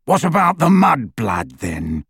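A brutish werewolf man who growls his words slowly and with menace, put on by a male narrator. He drops a little lower and quieter than the narration. Dry, close storybook reading. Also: wildly swooping pitch, creaky, breathy edge, no echo, exaggerated voice. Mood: menacing. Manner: growling, slow.

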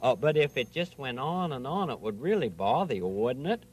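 A man's voice: mid-range voice, animated, moderate pace, breathy edge. A man playing a fussy, easily bothered male owl puppet in a children's show, with a folksy, insistent manner. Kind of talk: children's television puppet play.

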